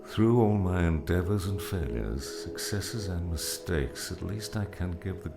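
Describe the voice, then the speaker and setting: A gruff old mentor in an audiobook, acted out by a male narrator, with an extremely low, creaky voice that rises and falls freely. He is wry and fatherly, and there is music under his words. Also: lively intonation, measured pace, full character voice. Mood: earnest, reflective. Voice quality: deep, gravelly.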